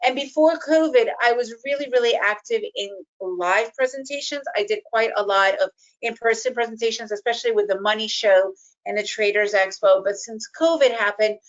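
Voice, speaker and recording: A woman's voice: a little high, fairly bright tone, dull audio. A woman in her forties, born in the Soviet Union and raised in the United States, giving a chatty online presentation and tagging lines with "right?". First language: Russian. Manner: chatty, fast, often adding "right?" tags. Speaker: trading educator presenting an online summit.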